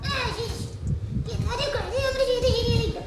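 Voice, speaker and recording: A woman voicing a cheeky, excitable little girl puppet in a squeaky, childlike voice, chiming in eagerly during a puppet show. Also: moderate pace. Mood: dismayed, curious. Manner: exclaiming, naive, eager interjections. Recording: very echoey, distant, loud background music.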